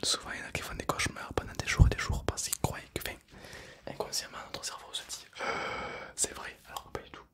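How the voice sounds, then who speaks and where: A young man plays a calm, chatty zombie in a breathy, close-miked ASMR whisper. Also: lightly acted. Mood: confiding, reassuring. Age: 20s. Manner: slow, hushed, rambling, playful. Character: calm, chatty, self-deprecating male zombie, mock-menacing. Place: indoor studio setup. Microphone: close stereo microphones either side of the head.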